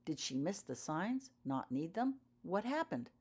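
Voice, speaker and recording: A woman's voice: lowish voice, big pitch swings, measured pace, a little mellow, slightly rough. A woman reading her own fiction aloud as audiobook narration, telling the story in character-focused prose.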